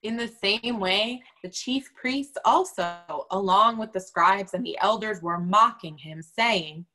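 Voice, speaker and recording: A woman's voice: mid-range voice, takes it slowly, sharp tone, poor audio. A woman giving a dramatic scripture reading as one of several readers.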